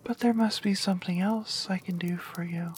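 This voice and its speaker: A woman voicing a kind young princess in a soft whisper. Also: on the low side, steady tone, normal speed, a little hiss or hum, lightly acted. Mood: gentle.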